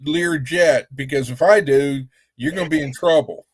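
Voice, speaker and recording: A man chatting on a livestream, rambling and repeating words as he gently scolds. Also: a little high, normal speed, breathy edge, dry recording. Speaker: guest on a livestream. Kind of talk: casual livestream chat.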